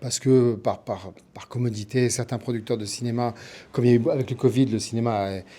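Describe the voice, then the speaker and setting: A man's voice: mid-range voice, steady tone, a little fast, mellow. A middle-aged man answering as an interview guest in a conversational, explanatory way, often listing and repeating to build his point. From born in Milan, Italy. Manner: explanatory, builds points through lists and repetition. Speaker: interview guest, TV producer and writer.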